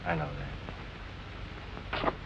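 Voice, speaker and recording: A man's voice: extremely slow, pushed and bright. An American man playing a concerned, guarded fiancé in the acting style of a 1940s film. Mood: questioning. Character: concerned, guarded fiancé of a stubborn young woman. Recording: noisy 1940s film soundtrack.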